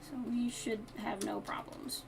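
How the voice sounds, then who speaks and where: A woman's voice: mid-range voice, flat delivery, unhurried, vocal fry, noisy background. A woman live streaming a game with casual commentary, talking to herself in short, playful asides. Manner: talks to self in short asides, playful wording.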